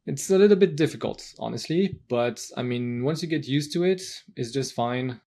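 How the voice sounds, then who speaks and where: French man with a gravelly, creaky voice. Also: mid-range voice, lively intonation, moderate pace, dark tone. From France. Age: 30s. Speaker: podcast guest. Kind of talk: casual personal storytelling.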